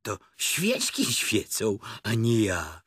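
A man voicing a good-natured, simple teddy bear in a children's story, speaking in an unhurried way. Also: medium pitch, sing-song, gravelly, slightly rough, no echo, clean studio sound, pitched above the performer's own voice, heavily acted. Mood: mild, amused. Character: good-natured, slow-witted, hungry male teddy bear.

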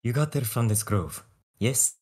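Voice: medium pitch, lively intonation, unhurried, darkish tone, husky. A performer voicing a vengeful, grief-stricken swordsman, theatrical and earnest, in a table read. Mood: commanding. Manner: theatrical, pleading, solemn.

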